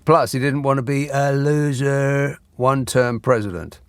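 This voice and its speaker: A man's voice: mid-range voice, unhurried, clear, resonant tone, no room sound. Dry, sarcastic man hosting a radio phone-in. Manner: dry, sarcastic, deadpan asides. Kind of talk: late-night radio phone-in. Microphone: studio microphone.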